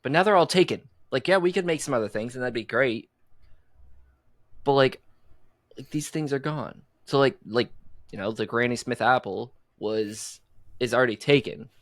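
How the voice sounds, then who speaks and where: A man's voice: medium pitch, moderate pace. A man co-hosting a casual podcast, goofy and joking, throwing in quips and tangents. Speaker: podcast co-host. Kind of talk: casual chat. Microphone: video call setup on camera.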